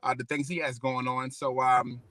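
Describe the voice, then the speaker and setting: Bright, laid-back slangy man. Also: mid-range voice, level tone, normal speed. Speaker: co-host. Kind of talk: casual live-stream chat.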